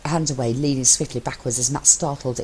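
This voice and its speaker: A woman reading fiction aloud as an amateur narrator, with expressive story delivery. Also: very deep voice, a little fast, slight background noise, poor audio.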